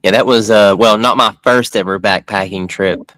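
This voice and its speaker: A man, hosting a podcast in a laid-back, halting way with frequent restarts. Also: lowish voice, fairly even intonation, fairly bright tone, no echo. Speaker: podcast host. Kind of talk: casual podcast chat.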